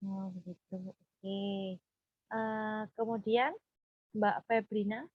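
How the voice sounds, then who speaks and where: A woman, leading an informal group session in a halting, hesitant way. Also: medium pitch, level tone, no room sound. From Purworejo, Central Java, Indonesia. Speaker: group counseling facilitator. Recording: dull, muffled lo-fi sound.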